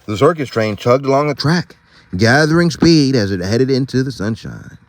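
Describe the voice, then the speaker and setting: Animated man reading a storybook aloud. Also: mid-range voice, normal speed, no echo. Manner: slangy, informal, easily sidetracked. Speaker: storybook reader. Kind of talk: read-aloud storytelling with casual asides.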